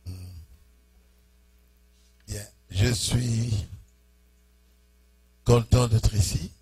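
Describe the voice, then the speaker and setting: A man pastor greeting a church congregation in French, speaking in short phrases and pausing for his interpreter. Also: fairly low pitch, fairly even intonation, husky. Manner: slow, pausing phrase by phrase for the interpreter, chuckling warmly. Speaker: preaching pastor. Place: church hall.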